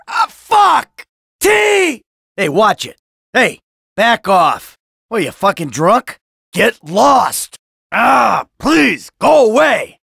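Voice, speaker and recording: A middle-aged American career criminal from a video game, jaded and quick to lose his temper. His voice has a gravelly, forceful edge and sits in a very dry recording. He sounds sardonic, foul-mouthed and exasperated. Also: very high voice, no echo, heavily acted. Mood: distraught, then irritated.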